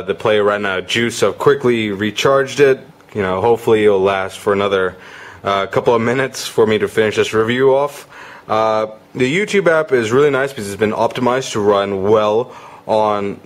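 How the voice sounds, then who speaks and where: A man giving a casual, conversational product demo as a gadget reviewer. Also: fairly low pitch.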